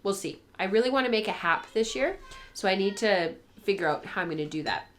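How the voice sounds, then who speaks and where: A woman's voice: medium pitch, animated, fairly quick. A woman hosting a craft vlog, chatting casually and thinking aloud in a rambling, informal way, her voice a little tired from a cold. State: getting over a cold, voice tiring. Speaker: host of a spinning and fibre craft show. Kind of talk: casual chat to viewers.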